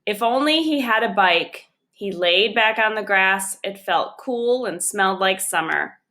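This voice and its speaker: A woman reading a children's chapter book aloud, narrating it expressively and deliberately. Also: medium pitch, expressive, sharp tone. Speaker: storybook reader.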